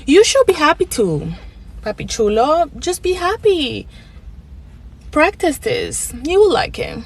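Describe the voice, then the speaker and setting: A woman's voice: high-pitched, wildly swooping pitch, unhurried, vocal fry, low-quality recording. Woman from the Dominican Republic, casual rant, preachy and dismissive delivery. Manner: dismissive, lecturing.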